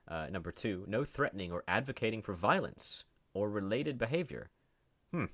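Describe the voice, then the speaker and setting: A man's voice: on the low side, sing-song, moderate pace, fairly bright tone. A man giving unscripted commentary, reading posts aloud and reacting in a sarcastic, incredulous way. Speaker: commentary host.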